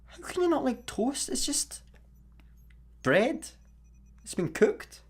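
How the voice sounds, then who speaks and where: A man's voice: extremely high pitch, very animated, slow pace, husky, exaggerated voice. A man playing a grumpy, exasperated space satellite worker in a sci-fi comedy radio play, with a sarcastic, grumbling manner. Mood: insistent, awkward.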